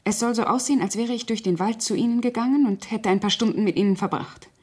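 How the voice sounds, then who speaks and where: A woman's voice: fairly high pitch, fast, a little mellow, breathy edge. An actress playing a cold, calculating woman in a German radio crime drama, speaking with precise, controlled command. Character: cold, calculating murderess posing as helpful household friend.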